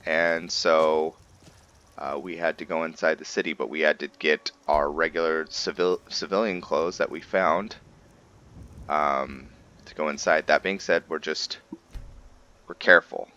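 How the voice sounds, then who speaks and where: A man giving casual gameplay commentary and roleplay storytelling, haltingly thinking aloud as he goes. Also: extremely low pitch, steady tone, sharp tone, dull audio. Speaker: gaming video host and roleplayer.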